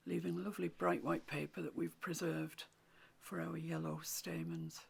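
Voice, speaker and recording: Croaky, half-whispering woman. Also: deep voice, level tone, moderate pace. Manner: gentle, often half-whispered instructions. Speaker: watercolour painting teacher. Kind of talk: soft-spoken painting tutorial. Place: home room with a mantelpiece.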